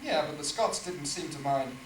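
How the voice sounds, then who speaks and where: A man's voice: on the high side, expressive, normal speed, close to an everyday voice. Guy playing a posh sarcastic churchman, echoey far stage sound, faint hiss. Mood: dry. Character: dry, sardonic English archbishop ghost, man. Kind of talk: amateur stage play recording.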